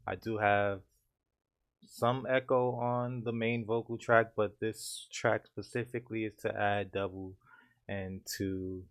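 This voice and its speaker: A man with a deep voice calmly walking through his home studio mixing setup in a casual tutorial. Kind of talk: casual screen-share tutorial.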